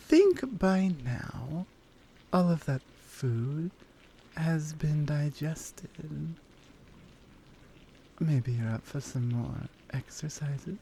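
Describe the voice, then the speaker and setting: Woman playing a slow, mellow flirty lioness. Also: sits low, slightly rough, heavily acted, low-quality recording. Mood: flirty, teasing. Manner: slow, coaxing, gently teasing. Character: flirty strong lioness woman blacksmith, teasing yet considerate. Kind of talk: roleplay ASMR audio drama.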